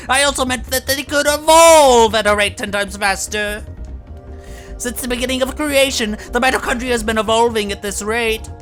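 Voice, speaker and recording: Woman as a high-voiced, condescending, obsessive scientist. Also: a bit bright, lightly acted. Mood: reverent intensity. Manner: deliberate, theatrical, pompous. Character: obsessive, condescending, sinister scientist professor lecturing. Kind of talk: fan dub voice acting of a video game scene.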